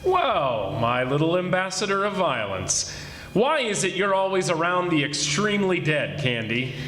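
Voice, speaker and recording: A man's voice: high-pitched, very animated, normal speed, fairly bright tone, a little hiss or hum. A man playing a sardonic, commanding police detective in a 1940s-style radio drama, theatrical and teasing. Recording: echoey live stage performance.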